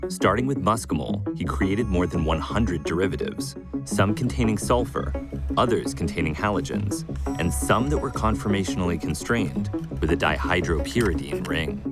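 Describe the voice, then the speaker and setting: A man's voice: low voice, expressive, moderate pace, loud music behind the voice. A man delivering scripted documentary narration.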